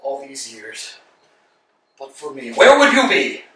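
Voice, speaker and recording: A man acting a weary, talkative tramp in a staged play reading, wry and theatrical, swinging between loud and soft. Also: mid-range voice, huge pitch swings, slow pace, exaggerated voice. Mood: rueful. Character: weary, talkative male tramp waiting endlessly. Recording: echoey room, distant mic.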